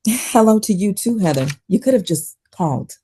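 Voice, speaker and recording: A woman's voice: mid-range voice, animated, moderate pace, dark tone, a little hiss or hum, lightly acted. A woman playing a stressed, wary, sardonic doctor in a naturalistic table read of a drama script. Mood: curt, irritated.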